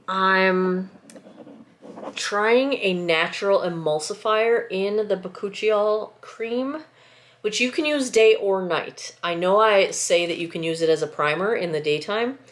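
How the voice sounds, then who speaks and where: A woman chatting casually while she packs skincare orders, sniffly and congested from a lingering cold, rambling and often cutting herself off to apologise. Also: fairly low pitch. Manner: rambling, self-interrupting, apologetic. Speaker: small-business skincare maker. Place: at home, indoors, packing table. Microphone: camera set close by.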